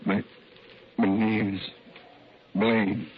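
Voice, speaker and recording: Slow, halting dying cowboy. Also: male voice, on the low side, level tone. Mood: weak, pained. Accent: rural American West. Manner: halting, broken phrases. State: weak, gasping from gunshot wounds. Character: badly wounded, dying cowboy, weak and earnest. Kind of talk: 1950s radio western acting. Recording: dull, faintly noisy old radio recording.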